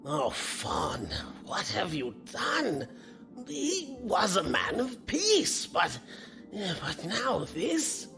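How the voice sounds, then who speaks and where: A man playing an excitable, moralistic scientist professor in a science fiction audio drama, with theatrical, drawn-out exclamations. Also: high voice, gravelly, music in the background, full character voice. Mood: dismayed.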